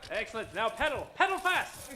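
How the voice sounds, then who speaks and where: A man's voice: very high voice, big pitch swings, brisk, roomy sound, music in the background. A man playing an eager, bossy adventure hero with melodramatic commands in an old-time radio play. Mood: urgent, commanding.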